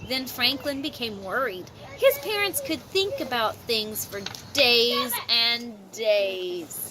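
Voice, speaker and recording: A woman reading a children's story aloud outdoors in expressive, deliberate phrasing, with chatty asides. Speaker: storybook reader. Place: outdoors at a park. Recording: noisy outdoor amateur recording, ambient rumble.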